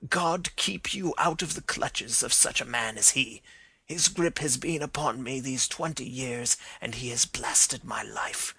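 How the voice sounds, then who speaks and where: A man's voice: medium pitch, unhurried, gravelly, sharp tone, husky, no room sound, exaggerated voice. A man playing a dying old man confessing. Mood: grim. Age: older. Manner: emotional, pleading storytelling. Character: dying older man confessing a violent past. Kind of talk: dramatized reading of a detective story.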